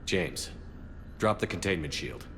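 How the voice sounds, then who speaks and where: An actor playing a steady, resolute military commander in a video game, firm and clipped. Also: male voice, sits low, measured pace, vocal fry, full character voice. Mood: calm, serious. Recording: noisy ambience behind dialogue.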